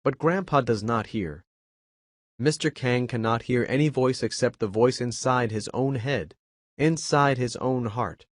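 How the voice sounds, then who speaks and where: A man reading a children's story aloud as an English teacher, calm and measured. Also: medium pitch, no room sound, clean studio sound.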